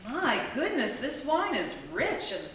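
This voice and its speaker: Casting: chatty, naive female guest actress, animated and folksy, live radio comedy in a very echoey theatre. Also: high voice, measured pace, a crowd in the background. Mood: amused. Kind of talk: live comic radio play. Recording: echoey theatre, far, audience noise.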